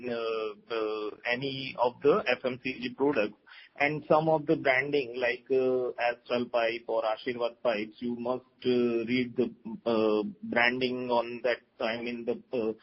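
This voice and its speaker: A man with an Indian accent answering questions as a company executive on an earnings call, in a halting, hesitant way. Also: medium pitch. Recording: dull lo-fi conference call audio.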